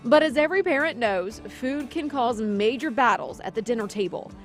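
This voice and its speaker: A woman's voice: a little high, animated, normal speed. An American woman narrating a scripted health segment as a reporter. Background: background music.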